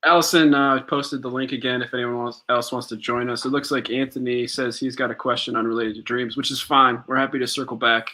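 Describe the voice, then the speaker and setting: Bright-voiced easygoing podcast co-host man. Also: medium pitch, fairly even intonation, fairly quick, few pauses. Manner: easygoing, agreeable, reads out viewer comments. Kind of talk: casual live-stream chat.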